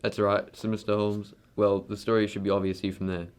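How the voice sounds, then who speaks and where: A man's voice: on the low side, level tone, a little fast, clean tone, close to an everyday voice. A man playing a proud, defensive wealthy husband in an amateur radio drama, speaking bluntly.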